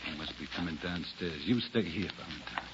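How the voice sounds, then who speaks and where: A man's voice: deep voice, a little fast, lightly acted. An actor playing a blunt, suspicious gangster boss with uneducated tough-guy talk, in a 1940s radio crime drama. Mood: suspicious, tense. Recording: muffled, noisy old radio recording.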